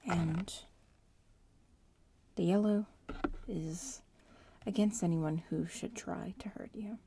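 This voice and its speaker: A woman playing a bratty young witch in training in a whispered ASMR roleplay, teasing and explaining in a breathy voice. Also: on the low side, slow pace, dark tone, slight background noise. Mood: instructive, focused. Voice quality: breathy whisper, mostly unvoiced.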